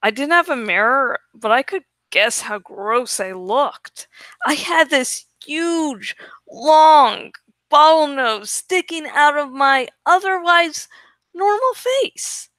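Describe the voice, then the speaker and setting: A woman's voice: high-pitched, lively intonation, takes it slowly, a bit bright, no echo. A woman reading a first-person story aloud expressively, drawing out words for dramatic emphasis. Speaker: amateur audiobook reader.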